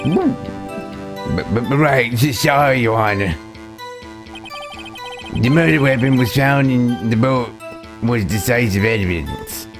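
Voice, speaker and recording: A man plays a nervous police detective in a courtroom drama game. The medium-pitched voice is dutiful and apologetic, tripping over words in a stammer. Music is clearly heard behind it. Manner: stammering, halting.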